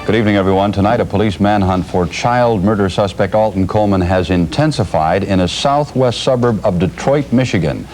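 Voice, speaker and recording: A man's voice: on the low side, brisk, hardly any pauses, a little mellow, soft background music. An American man anchoring an evening television newscast in a scripted news delivery, on a noisy eighties broadcast tape. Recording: noisy 1980s TV broadcast videotape.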